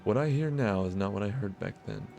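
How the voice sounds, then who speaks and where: A man's voice: on the low side, a little fast, creaky, dark tone, soft background music. A man reading the lines of a bewildered, wary husband in a visual novel, solemn and questioning. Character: bewildered, wary husband confronting his lost wife. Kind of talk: visual novel dialogue read aloud.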